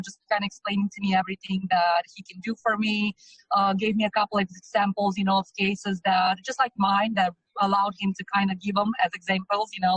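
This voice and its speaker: A woman's voice: mid-range voice, a bit bright, no echo, rough recording. A woman in her thirties, originally from Romania, talking in a loose, chatty way with frequent hesitations as an interview guest. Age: 30s. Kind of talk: casual testimonial interview.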